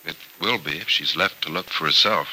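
A man's voice: very deep voice, brisk, bright, forceful tone, exaggerated voice. An actor in an old-time radio soap opera playing a sober, caring American man, thoughtful and hesitant yet resolute about helping his friend. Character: grave, caring male friend, resolute and self-sacrificing. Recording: noisy vintage radio drama recording.

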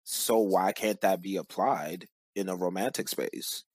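Low-voiced man from Cameroon, close dry sound. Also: unhurried, a little mellow, breathy edge, no room sound. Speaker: podcast guest. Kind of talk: casual, reflective interview talk.

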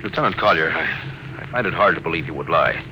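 An actor playing a stern, suspicious spaceship captain, commanding in classic radio science fiction drama style. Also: male voice, extremely low pitch, quick pace, bright, forceful tone, exaggerated voice. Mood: stern, probing. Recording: noisy old radio drama recording.

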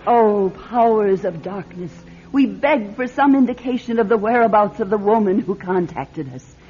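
An actress playing a scheming middle-aged fortune-teller in a classic radio drama, grandiose and coaxing in manner. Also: female voice, medium pitch, lively intonation, moderate pace, warm tone, close to an everyday voice. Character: theatrical, scheming female fortune-teller and fake medium. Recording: muffled vintage radio, music behind.

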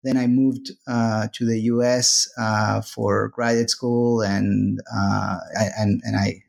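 Male academic guest, unhurried, searching for words mid-sentence. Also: on the low side, little pitch movement, creaky, darkish tone. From Argentina. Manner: hesitant, many uhs and restarts. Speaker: guest author, sociology professor. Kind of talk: interview answers.

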